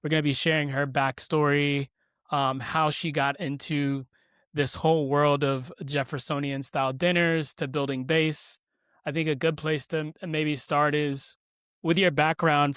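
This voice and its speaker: Male podcast host, smooth and slightly high voice, casual rambling questions. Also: little pitch movement, a little slow, fairly bright tone, no echo, clean studio sound. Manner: friendly, rambling questions with fillers. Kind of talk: casual interview hosting.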